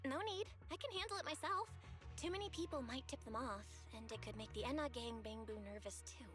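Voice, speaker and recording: A woman's voice: sits high, fairly quick, lightly acted. An actress voicing a young, easygoing and self-assured woman investigator in video game story dialogue. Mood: confident. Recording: game music behind.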